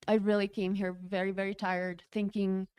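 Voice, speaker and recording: Race winner, woman from the Czech Republic, mid-pitched, hesitant and laughing. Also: level tone, moderate pace, a little mellow, smooth, no echo, clean studio sound. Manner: giggly, hesitant, many fillers. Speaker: race champion guest. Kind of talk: interview answers. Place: race broadcast studio.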